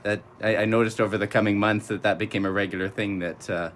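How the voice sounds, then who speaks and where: A man's voice: lowish voice, steady tone, quick pace, fairly bright tone, a little hiss or hum. A man speaking as a landscaper guest in a radio interview, telling anecdotes casually.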